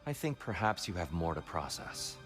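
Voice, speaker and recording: Wise game guide: composed, measured, gently low voice, formal questioning delivery over score. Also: male voice, big pitch swings. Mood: solemn, probing. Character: calm, wise, probing guide and judge. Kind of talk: cinematic video game acting. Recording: music under the voice.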